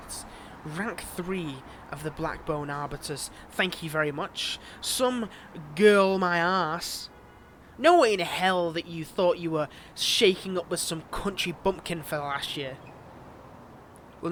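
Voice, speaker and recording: A man's voice: on the high side, fairly bright tone, a little hiss or hum. A man acting as a flirtatious, mocking villain and bitter scorned ex in a dramatic audio roleplay, drawing out his teasing words. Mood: bitter, scornful. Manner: teasing, mocking, drawn-out delivery with pet names.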